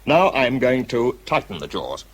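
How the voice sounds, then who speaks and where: An actor with a British accent playing a tense, self-doubting wartime bomb disposal man in a radio drama, shifting between clipped reports and quiet confession. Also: medium pitch, sing-song, a little slow. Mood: tense, concentrated. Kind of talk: radio drama acting, first-person narration and spoken report.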